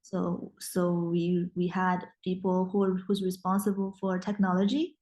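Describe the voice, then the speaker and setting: A woman's voice: lowish voice, level tone, unhurried, dark tone, rough recording. Hesitant young woman, Mandarin native speaker. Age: young adult. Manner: hesitant, many restarts and fillers. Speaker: guest. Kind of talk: casual interview.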